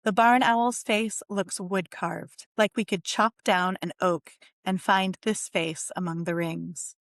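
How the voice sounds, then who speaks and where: A woman reads poetry aloud in a mid-pitched voice. Her delivery is measured and deliberate, and the recording is dry and close. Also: steady tone, fairly bright tone, no echo. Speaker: poet reading own poem. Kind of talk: poetry reading.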